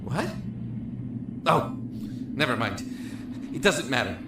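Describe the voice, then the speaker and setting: An actor playing a lonely, emotional young man in a prison cell in an audio drama, talkative and halting. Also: medium pitch, very animated. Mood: pleading, overwrought. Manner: halting, rambling, effusive. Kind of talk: audio drama acting with first-person narration. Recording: echoey room with music behind.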